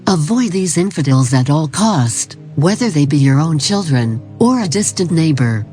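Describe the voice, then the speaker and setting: A performer playing a calm, formal cult recruitment narrator, reading a scripted instructional tape in a measured, solemn way. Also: mid-range voice, natural voice. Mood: serene, ominous. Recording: 1990s tape-style narration over music. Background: music.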